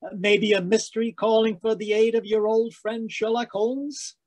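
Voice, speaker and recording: A man playing a cheery, folksy announcer and sponsor pitchman in the style of 1940s radio. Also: fairly even intonation, moderate pace, a little mellow, natural voice. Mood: curious, friendly. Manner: folksy, hearty salesmanship. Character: cheery, folksy male radio announcer, eager sidekick listener. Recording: live video-call performance.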